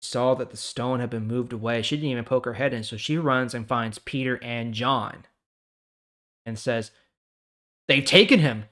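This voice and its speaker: A man hosting a Bible reading series, speaking conversationally with dramatic pauses and emphasis as he reads and comments. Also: mid-range voice, fairly bright tone. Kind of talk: casual devotional reading and commentary.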